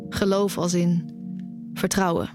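A woman's voice: on the low side, flat delivery, a little slow, close to an everyday voice. A young woman acting in an audio drama as an anxious, tender, nearly blind music student who also narrates her story. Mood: tender, wistful. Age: young adult. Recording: music under the voice.

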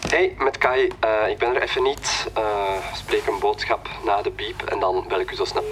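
An actor playing a casual, slightly hesitant young student leaving a telephone voicemail in an audio drama. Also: male voice, fairly low pitch, steady tone, normal speed, background noise. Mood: offhand.

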